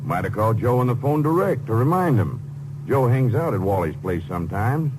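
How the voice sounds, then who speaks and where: An actor playing a folksy, plain-spoken, laconic small-town sheriff in a 1950s radio crime drama. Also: male voice, medium pitch, sing-song, a little fast, natural voice. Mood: musing. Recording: noisy, humming old radio recording.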